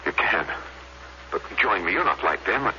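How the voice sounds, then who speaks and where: A man's voice: low voice, very animated, moderate pace, bright, forceful tone, full character voice. An actor playing a weary, idealistic renegade spaceman, brooding and defiant, in an old-time radio drama. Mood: quietly determined. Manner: brooding, intense. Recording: dull, noisy vintage radio recording.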